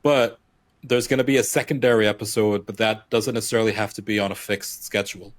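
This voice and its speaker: A young man with a lowish voice, recorded close and dry with no room sound. He rambles through a relaxed gaming podcast chat, full of fillers and restarts. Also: quick pace, no room sound. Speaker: podcast co-host. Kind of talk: casual chat.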